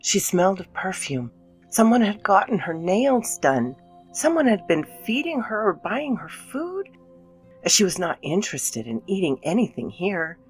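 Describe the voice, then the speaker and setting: A woman playing an anxious, guilt-ridden mother in a dramatic scripted horror narration, theatrical and dipping into breathy near-whispers. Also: medium pitch, sing-song, normal speed, soft background music, close to an everyday voice. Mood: confessional, uneasy.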